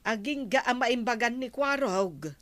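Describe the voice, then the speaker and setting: Woman acting as a fairly high-voiced concerned Ilocano villager. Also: normal speed, a bit bright, no room sound, lightly acted. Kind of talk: Ilocano comedy drama acting.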